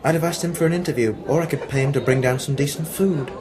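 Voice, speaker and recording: A man's voice: fairly high pitch, quick pace, a little mellow, lightly acted. A man playing a sarcastic, defiant newspaper reporter from the 1940s in an amateur radio play, dry and sardonic.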